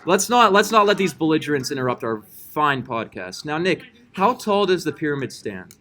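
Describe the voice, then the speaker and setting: A man's voice: on the high side, very animated, normal speed, sharp tone. A man hosting a comedic podcast, speaking in mock-serious, declamatory banter. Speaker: podcast host.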